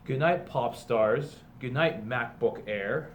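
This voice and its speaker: A man's voice: medium pitch, takes it slowly. A man reading a children's book aloud like a teacher, mixing emphatic, sing-song lines with casual explanations for students. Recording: clear background noise.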